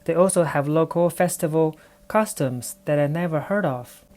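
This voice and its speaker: Chinese woman in her thirties, non-native English, low warm voice, reflective podcast monologue. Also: moderate pace. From China. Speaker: podcast host. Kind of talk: personal storytelling monologue.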